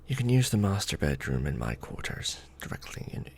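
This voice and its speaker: Casting: male actor as brooding, protective vampire lord for ASMR roleplay; low creaky voice, hushed formal delivery with sweeping intonation. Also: very animated, heavily acted. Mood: tender, attentive. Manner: formal, archaic wording, slow and hushed. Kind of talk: ASMR romantic roleplay, soft close speaking.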